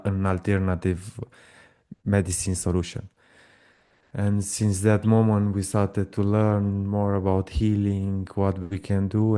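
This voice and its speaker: Male guest, Romanian accent, mellow and smooth, halting non-native English. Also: sits low. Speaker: guest, breathwork practitioner. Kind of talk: personal storytelling in an interview.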